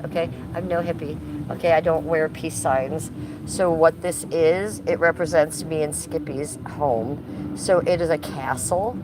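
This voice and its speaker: A woman in her fifties chatting casually on a livestream, teasing and sassy as she talks back to her viewers. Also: deep voice. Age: 50s. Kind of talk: casual livestream chat, reading and answering viewer comments. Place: outdoors in a yard by a fire. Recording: noisy live stream with ambient noise.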